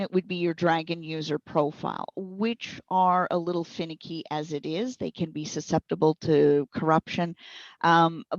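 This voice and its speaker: Woman, tech support interviewee. Low voice, chatty folksy explainer, dry lo-fi audio. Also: dry recording. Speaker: interviewed speech software trainer and support provider. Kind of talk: informal explanatory interview answers. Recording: dull, lo-fi audio.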